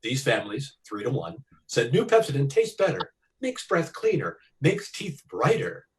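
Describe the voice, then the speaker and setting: A man's voice: mid-range voice, wildly swooping pitch, measured pace, far from the mic. A man announcing and reading sponsor spots in the style of a 1940s radio show.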